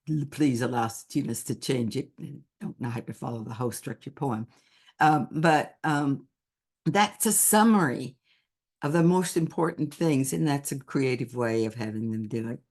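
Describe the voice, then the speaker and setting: A woman's voice: extremely low pitch, a little slow, a little mellow. A woman who writes picture books, giving an online presentation to teachers in a thoughtful, explanatory way.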